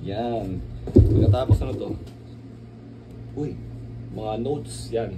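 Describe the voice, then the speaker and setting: A man's voice: medium pitch, slow pace. A man with a Filipino accent chatting casually through an unboxing vlog, rambling and often checking for agreement. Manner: rambling, repeats tag questions like asking for agreement. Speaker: vlogger. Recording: clearly noisy, distant microphone.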